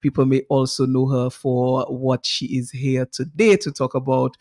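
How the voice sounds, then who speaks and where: A woman's voice: rumbling low, level tone, normal speed, a little mellow, no echo, clean studio sound. A woman hosting a radio health talk show, speaking in a friendly, rambling way with restarts.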